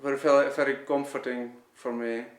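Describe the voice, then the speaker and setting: A man with a Dutch accent, a native Dutch speaker, giving an earnest, unscripted personal testimony, often halting and searching for words. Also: mid-range voice, little pitch movement. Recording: echoey, roomy sound.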